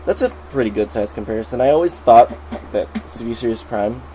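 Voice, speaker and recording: Man, nasal, under the weather, chatty toy reviewer, mid-pitched voice, faint hum behind. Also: a little fast. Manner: chatty, excitable. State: sick, nasal.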